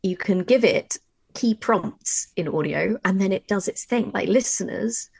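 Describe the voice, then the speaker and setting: Chatty woman with a creaky, dry-miked voice. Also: mid-range voice, a little slow, a little mellow, no room sound. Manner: chatty, informal, encouraging. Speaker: audio drama writer giving a workshop. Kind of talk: conversational workshop discussion.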